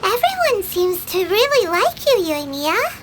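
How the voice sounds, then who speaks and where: A woman's voice: very high-pitched, very animated, measured pace, very noisy, full character voice. A woman voicing a small, childlike sprite companion in a video game, chirpy and curious. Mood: impressed. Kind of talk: cartoonish video game voice acting.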